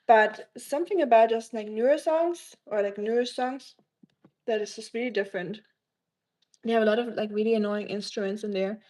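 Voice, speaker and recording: A woman from Germany talks in a German-accented voice that sits a little high. She chats on her livestream in a rambling, teasing way, drifting between asides as she answers viewers. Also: normal speed, warm tone.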